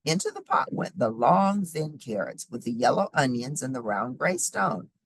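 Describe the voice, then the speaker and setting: A woman reading a children's story aloud expressively, with sing-song repetition and deliberate emphasis. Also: extremely low pitch, animated, slightly rough, no room sound. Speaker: storybook reader.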